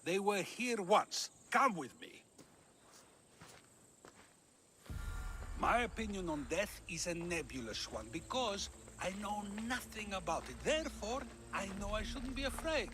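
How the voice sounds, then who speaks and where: An actor playing a wise old philosopher in a video game, measured, reflective and declamatory. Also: male voice, sits high, big pitch swings, background noise. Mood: musing. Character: wise old male philosopher, calm and musing. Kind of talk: dramatic game cutscene dialogue.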